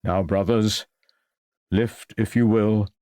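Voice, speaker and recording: A man's voice: on the low side, steady tone, slow, warm tone, clear, resonant tone, no room sound, studio quality. A man playing a reluctant chief undertaker in an audiobook story, formal and deferential. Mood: reluctant, solemn.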